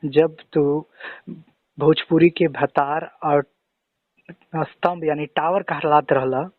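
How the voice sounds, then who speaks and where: A man's voice: fairly high pitch, steady tone, takes it slowly, no room sound. A man speaking as an interviewer on a recorded phone call. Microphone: phone line. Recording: tinny, band-limited phone call recording.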